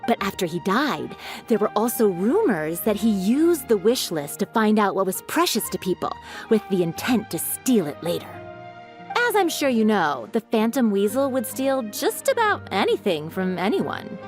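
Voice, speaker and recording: A townswoman from a video game, voiced by a woman, recounting local rumors. Her mid-pitched voice rises and falls expressively, with faint music behind her. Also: sing-song, normal speed, lightly acted. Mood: serious.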